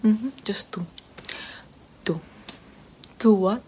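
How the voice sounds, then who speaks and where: A woman in an ASMR roleplay voicing a sly, flattering female poison seller in Renaissance Rome, with a soft whispery voice and calm menace, close to the mic. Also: fairly low pitch, a little slow, lightly acted. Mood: coaxing whisper. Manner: sweet-talking, with darkly calm menace. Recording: steady background hiss.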